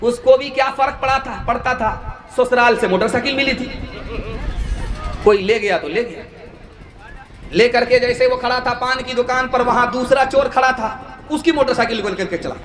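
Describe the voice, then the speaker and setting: Very high-pitched male preacher, echoing. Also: a bit bright. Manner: declamatory, melodic chanting of verses and plain storytelling. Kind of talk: religious sermon to a gathering, with anecdotes and sung poetry. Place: large religious gathering with a loudspeaker system. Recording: echoing public-address sound, faint music.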